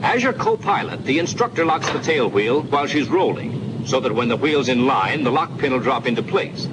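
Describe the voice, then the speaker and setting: A man's voice: mid-range voice, expressive, normal speed, lightly acted. A man narrating a 1940s military training film in scripted second person, explaining procedures in an instructive way. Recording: old film soundtrack with engine drone. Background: aircraft engine noise.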